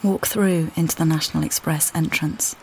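An actress playing a weary, displaced mother who calmly guides the listener in a walking play, speaking plainly and directly to them. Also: low-pitched, moderate pace, gravelly, a little hiss or hum, low-quality recording. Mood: reflective. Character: displaced mother, weary and uncertain, far from home. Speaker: audio guide narrator. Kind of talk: immersive walking-play narration.

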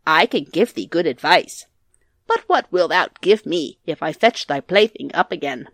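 An enchanted frog from a storybook, acted out by a woman, declaims in formal, archaic phrases. He is insistent and pleading, projecting loudly in a very dry recording. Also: lowish voice, animated, normal speed, no echo. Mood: reassuring. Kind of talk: storybook character voice.

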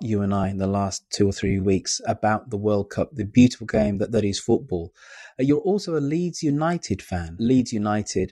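Man, podcast host, low voice, dry sound, theatrical questions. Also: dark tone, dry recording. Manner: theatrical, swooping rhetorical questions. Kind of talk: live audio-room discussion.

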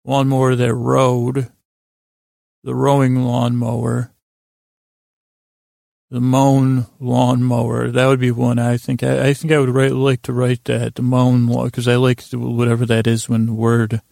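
Gravelly man rambling through a bedtime monologue. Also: medium pitch, monotone, no echo. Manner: halting and meandering, with stammers, repeats and long pauses; gently whimsical, wandering off on tangents. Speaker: podcast host. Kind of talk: rambling bedtime monologue to lull listeners to sleep.

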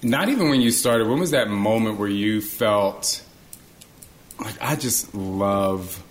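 A man with a fairly low voice, hosting an interview. He asks warm, admiring questions and gives his guest room to answer. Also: slow pace. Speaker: interview host. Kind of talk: conversational interviewing.

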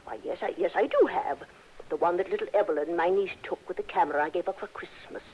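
An actress playing a genteel, trusting and easily flattered older wealthy widow in a 1960s radio drama, speaking in a fluttery, hesitant way. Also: female voice, lowish voice, fast, a little hiss or hum, natural voice. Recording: dull, lo-fi old radio recording.

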